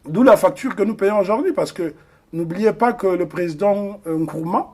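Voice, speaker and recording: Husky high-voiced man, earnest. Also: normal speed, a little mellow. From Republic of the Congo. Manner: earnest, emphatic with many hesitations. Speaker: guest. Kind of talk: interview discussion.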